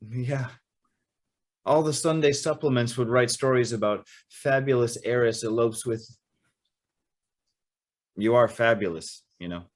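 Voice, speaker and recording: A man acts an earnest young suitor in a radio play. He speaks slowly at a middling pitch, hesitant and sincere, like a guest unsure of his footing. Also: lightly acted. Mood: smitten, nervous. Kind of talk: old-time radio drama acting.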